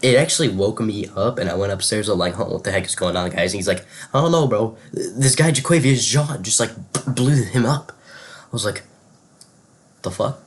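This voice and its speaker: Low-voiced young man, slangy storyteller. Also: normal speed. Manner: slangy, loose, says "bro" and "like". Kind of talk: casual spoken storytelling and chat.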